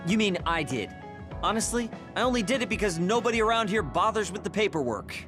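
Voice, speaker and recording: A man's voice: sits high, expressive, fairly quick, over music. An actor playing a blunt, prickly young man in an anime video game dub. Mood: irritated.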